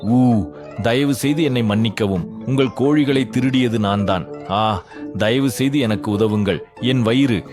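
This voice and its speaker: A man's voice: medium pitch, a little slow, music in the background, close to an everyday voice. A man voicing a lazy, sly, greedy village thief in a children's story, with theatrical exclamations. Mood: pained pleading. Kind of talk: children's moral story acting.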